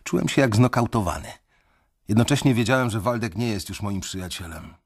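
A young man narrating a radio drama in the first person, measured and brooding. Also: on the low side, lively intonation, husky. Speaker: narrator and main character.

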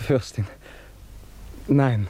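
An actor playing a gallant, devoted young knight in a German literary radio play, courtly and fervent in manner. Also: male voice, medium pitch, noisy background. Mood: fervent pleading. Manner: courtly, fervent, halting when moved.

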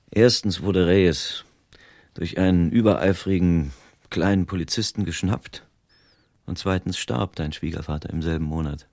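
A private detective in a radio drama, very low in voice and dryly recorded. He is world-weary and wry, laying things out in blunt, emphatic everyday language. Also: a little mellow, no room sound, clean studio sound. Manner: emphatic, explaining, colloquial. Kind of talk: German radio drama acting.

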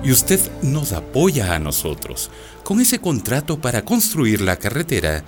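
An actor playing a sly, unctuous, corrupt company manager in a radio drama. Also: male voice, mid-range voice, huge pitch swings, measured pace, over music. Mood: smooth scheming.

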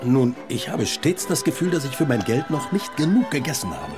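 Male actor as stuffy period gentleman for radio drama: formal, scoffing, quick to take offence, very lively pitch swings. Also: over music, close to an everyday voice. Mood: formal, reflective. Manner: formal, amused scoffing turning indignant. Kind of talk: German radio play acting.

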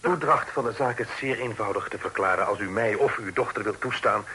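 An actor playing a courteous, earnest young gentleman in a period radio drama, explaining himself politely and formally. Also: on the low side, fairly quick. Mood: earnest, explanatory. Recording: hissy old radio play recording.

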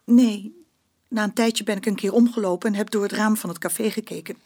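An actress playing a bitter, stubborn working-class mother giving a confession in a Dutch radio play, speaking colloquially and haltingly. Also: medium pitch, steady tone, darkish tone, natural voice. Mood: anxious recounting.

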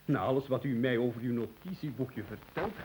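A man doing a deadpan self-styled researcher. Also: medium pitch, a little fast, slight background noise, natural voice, poor audio. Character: dry-witted researcher man named Kwartel. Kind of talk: radio play acting.

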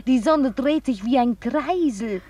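A woman's voice: high voice, lively intonation, unhurried, mellow, recorded from a distance. An actress playing a woman who describes visions in a crystal in a radio play. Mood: dreamy. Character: woman gazing into a crystal, dreamy.

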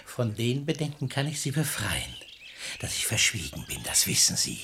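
A sly religious hypocrite in a rhymed radio play. His delivery is unctuous and insistent, rising and plunging theatrically across a very lively range. A faint noise sits behind the voice. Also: medium pitch, moderate pace, exaggerated voice. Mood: sly, seductive. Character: sly, pious male hypocrite seducing a married woman. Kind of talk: German radio play verse comedy acting.

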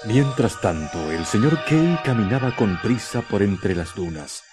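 A man narrating a scripted radio drama with deliberate, dramatic phrasing. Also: on the low side, lively intonation, over music.

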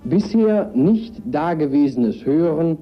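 A man announcing in a measured 1950s promotional style, heard on an old archival recording. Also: on the high side, lively intonation. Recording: dull archival 1950s recording over music.

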